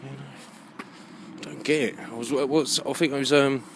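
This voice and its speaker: A man talks in an unscripted, wandering monologue for his video channel. His voice sits at a medium pitch, and he is reflective and opinionated, circling back over his phrases and swearing freely. The recording is rough and amateur, with clear ambient noise like traffic behind him. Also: fairly even intonation, brisk. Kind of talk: rambling unscripted monologue to camera.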